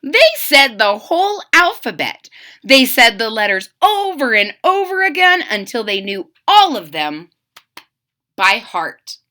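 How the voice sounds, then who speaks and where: A woman reading a children's storybook aloud as a kindergarten teacher, with expressive, explanatory asides to young listeners. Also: fairly high pitch, measured pace.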